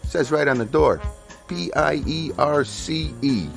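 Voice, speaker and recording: An actor playing a gruff, sarcastic tough guy in a radio drama. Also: a little high, a little slow, over music. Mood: sly, menacing.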